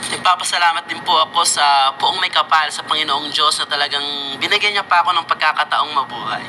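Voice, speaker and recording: A man's voice: fairly high pitch, fast talker, bright, forceful tone, faint music behind. A man with a Filipino accent giving an earnest speech of personal thanks, heard from a played video clip.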